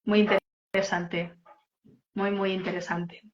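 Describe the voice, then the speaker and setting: A woman's voice: mid-range voice, little pitch movement, takes it slowly. A woman with an accent from Spain hosting a casual interview, agreeable and a little hesitant. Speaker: interview host. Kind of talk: casual online interview chat. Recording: slightly dull, lo-fi sound.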